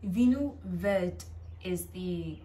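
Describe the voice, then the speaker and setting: A woman's voice: fairly low pitch, lively intonation, very slow, dark tone, slight background noise. Chatty British woman vlogging. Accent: British English. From England. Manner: chatty, self-deprecating, trying out foreign phrases. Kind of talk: casual vlog chat. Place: home garden.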